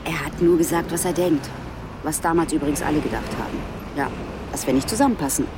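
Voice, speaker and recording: Low-voiced, guarded female police detective. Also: background noise. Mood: businesslike. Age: around 40. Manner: matter-of-fact, brisk, cutting when provoked. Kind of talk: naturalistic German radio crime drama acting.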